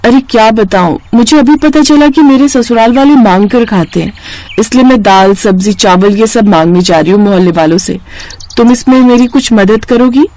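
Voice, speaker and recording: Lady doing a shy young bahu in a moral story, high voice, polite n kinda embarrassed. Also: normal speed, warm tone, lightly acted, rough recording. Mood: embarrassed, pleading. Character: timid, embarrassed young daughter-in-law, polite but troubled.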